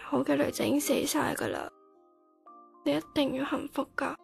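A woman's voice: high-pitched, moderate pace, vocal fry, faint music behind. A woman acting a sensitive, dramatic nineteen-year-old daughter in a radio drama, with a Hong Kong Cantonese accent. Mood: bitter, hurt.

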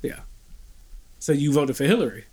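A man's voice: on the high side, lively intonation, normal speed, mellow, slightly rough. Casual man hosting a group chat. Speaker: talk show host. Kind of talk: casual group talk, questioning.

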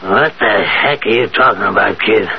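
An actor playing a gruff, bewildered man in his late seventies with a raspy, gravelly voice, in radio drama style. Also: lowish voice, normal speed. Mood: gruff, wary. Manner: gruff, hesitant. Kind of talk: 1970s radio drama acting. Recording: dull 1970s radio recording.

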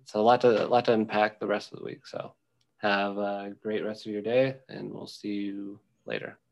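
A young man co-hosting a podcast, chatting casually about football in a rambling, halting way with many ums and restarts. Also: fairly low pitch, steady tone, gravelly, muffled recording. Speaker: podcast co-host. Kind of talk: casual sports chat.

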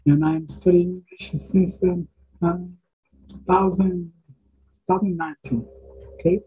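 A man of twenty-eight from Brazil with a Brazilian accent, halting as he searches for words on a video call. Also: high-pitched, steady tone, warm tone, slightly rough, low-quality recording. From São Paulo, Brazil. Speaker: participant.

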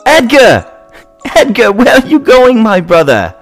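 Man acting an excitable, very animated teenage boy. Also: very high voice, unhurried, soft background music, full character voice. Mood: pleading. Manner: excitable, wheedling. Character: eager, pleading teenage vampire little brother. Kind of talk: theatrical fantasy audio drama acting.

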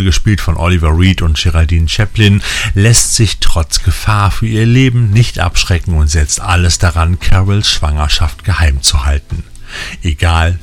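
A man hosting a scripted magazine-style show, narrating with colloquial, enthusiastic fan patter. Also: sits low. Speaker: podcast host. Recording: music playing behind the voice. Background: music bed.

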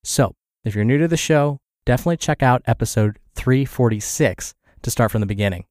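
A man hosting a podcast, reading in a measured, explanatory narration with a slightly congested, scratchy voice from a cold. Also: medium pitch, a little mellow, dry recording, clean studio sound. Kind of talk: scripted podcast narration, reading an article aloud.

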